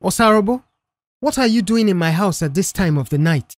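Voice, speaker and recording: Woman playing a quiet young mechanic guy in an audio story, measured, dry sound. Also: medium pitch, expressive, dry recording, pitched above the performer's own voice, lightly acted. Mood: displeased. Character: reserved, matter-of-fact young male mechanic.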